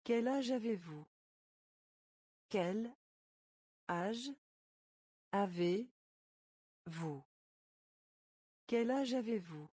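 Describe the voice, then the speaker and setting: Woman, French teacher, very slow word-by-word drill, mellow voice, dry close sound. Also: mid-range voice, lively intonation, breathy edge, no room sound. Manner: breaks phrases into single words, then repeats them whole. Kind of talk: slow phrase-by-phrase pronunciation drill.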